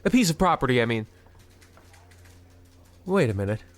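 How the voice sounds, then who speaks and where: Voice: mid-range voice, big pitch swings, moderate pace, natural voice. A performer in an old-time radio comedy playing a callous, self-absorbed banker who talks in a pompous, patronizing, sarcastic way. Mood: breezy, oblivious.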